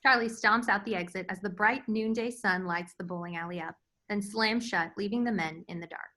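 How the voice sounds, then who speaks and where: A woman's voice: mid-range voice, brisk, sharp tone. A woman reading stage directions as scripted narration for a screenplay table read. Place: remote virtual table read.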